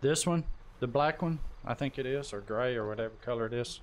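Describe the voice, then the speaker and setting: A man's voice: medium pitch, brisk. A man chatting casually as he hosts a live game stream, talking to teammates and viewers. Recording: noisy game-stream audio, vehicle sounds behind.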